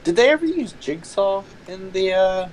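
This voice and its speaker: A man's voice: high-pitched, big pitch swings, moderate pace, slight background noise, low-quality recording. A man bantering casually on a livestream in a jokey, profane way.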